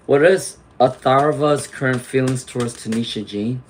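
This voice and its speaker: A man doing a live tarot reading, chatty and reassuring, clearing his throat often as he loses his voice to laryngitis. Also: mid-range voice, moderate pace, background noise. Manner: chatty, reassuring, frequent "okay?" and "all right?" tags. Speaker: tarot reader; teacher.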